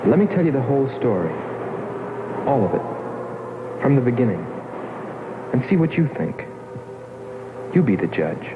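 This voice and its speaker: A man playing a haunted astronaut who narrates his voyage in a brooding, deliberate, dramatic 1960s film style. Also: medium pitch, big pitch swings, background noise, lightly acted. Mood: wistful, obsessed. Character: haunted male astronaut recounting his voyage, wistful and obsessed. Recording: tinny old film soundtrack under loud music.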